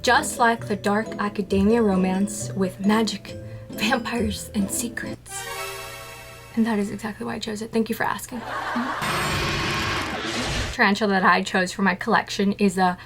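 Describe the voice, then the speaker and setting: A woman's voice: medium pitch, steady tone, moderate pace, pauses often, music in the background. A woman talking to camera about her tarantulas, chatty and playful, asking herself questions and then answering them. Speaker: tarantula keeper vlogger. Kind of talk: casual scripted talk to camera.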